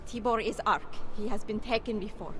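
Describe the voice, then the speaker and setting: An actress playing a distraught, suspicious wife whose husband was taken, speaking in a bitter, accusing way in a video game cutscene. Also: female voice, mid-range voice, fairly quick, over music, lightly acted.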